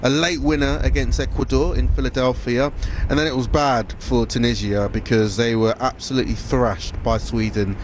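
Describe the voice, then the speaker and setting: A man reporting on football, then interviewing fans in a nightclub, speaking in a measured way with fillers. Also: mid-range voice, fairly even intonation, a little hiss or hum. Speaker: sports reporter. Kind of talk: news report and field interview.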